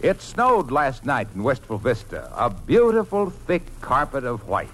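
A man's voice: medium pitch, wildly swooping pitch, unhurried, a bit bright, heavily acted. A man playing a genial 1940s radio announcer who sets the scene like a theatrical storyteller. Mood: genial, scenic. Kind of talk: 1940s radio scripted narration. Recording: noisy old radio broadcast recording.

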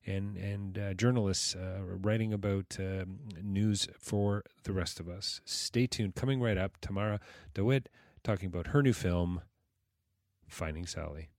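A man with a very low voice hosts an interview show, stumbling through restarts and fillers as he talks. The sound is dry and close, with no room around it. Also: a little mellow, no echo. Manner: halting, many restarts and fillers. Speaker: podcast host. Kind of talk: casual interview with promo reads.

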